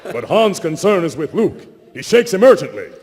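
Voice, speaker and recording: A man's voice: high voice, sing-song, moderate pace, a little mellow. A man giving dramatic scripted narration at a live reading. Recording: reverberant convention hall with background noise.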